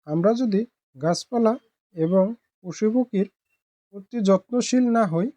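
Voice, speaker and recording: A woman narrating a scripted village fable as a storyteller, in a measured, earnest manner. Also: mid-range voice, mellow, no room sound.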